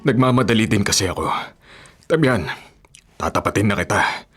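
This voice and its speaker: Radio drama relative: apologetic older brother asking favours, bright edgy tone, hurried, faint music. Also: male voice, lowish voice, lively intonation, breathy edge. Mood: sheepish, hurried. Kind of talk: Filipino radio drama acting.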